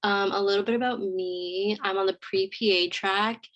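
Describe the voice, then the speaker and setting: A woman's voice: mid-range voice, steady tone, moderate pace, fairly bright tone, dull audio. A young woman from Florida, communications manager of a student program, introducing herself in a casual, chatty way. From Tampa, Florida. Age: 20s. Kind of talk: casual presentation to a student group.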